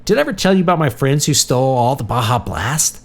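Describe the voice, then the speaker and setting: Confused old man played by a male narrator, giggly and self-amused, rambling storybook character with highly animated, swooping pitch. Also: normal speed, breathy edge.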